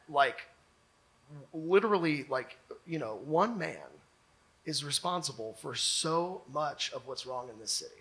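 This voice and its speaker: A man hosts a comedy show live on stage. His voice sits a little high and is bright and punchy. He talks sarcastically, doubling back on words as he builds his commentary. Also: poor audio. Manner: sarcastic, halting with stammered repeats. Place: on stage before a live audience.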